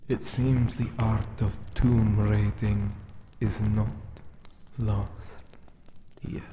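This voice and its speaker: A man performs an ancient male death-guardian monster for a whispered ASMR roleplay. The voice is dark and breathy, extremely slow and solemn, with formal phrasing and long pauses. It carries lonely menace mixed with admiration, over a noticeable hiss. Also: lowish voice. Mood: admiring, curious.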